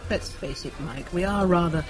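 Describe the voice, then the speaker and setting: An actress playing a quick-witted, teasing British wife in a radio drama, arch and composed with a British accent. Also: female voice, lowish voice, lightly acted.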